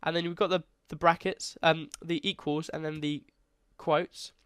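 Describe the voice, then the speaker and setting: A young man with a stuffy, congested voice walks viewers through a beginner coding lesson in a loose, chatty way, often restarting his phrases. His voice sits a little high for a man, and the recording is dry and close with no room sound. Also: little pitch movement, moderate pace, fairly bright tone, clear, resonant tone, no echo. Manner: casual, chatty, self-correcting. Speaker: coding tutor. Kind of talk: screen-recorded coding tutorial.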